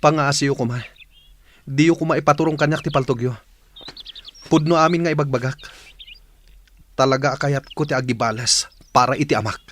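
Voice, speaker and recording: A man's voice: mid-range voice, normal speed, long pauses, a bit bright, close to an everyday voice. A man with an Ilocano accent acting an earnest young man bent on justice for his father in a radio drama, with noise behind him. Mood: pleading.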